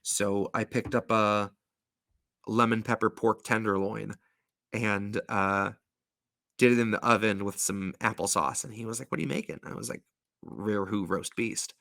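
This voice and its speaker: A man with a fairly low voice full of creaky gravel hosts and reads storybook verse. He slips easily between measured recitation and wry, casual chat, joking and swearing freely. The sound is close and dry, with very little room. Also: steady tone, a bit bright, no room sound. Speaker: show host and storybook reader.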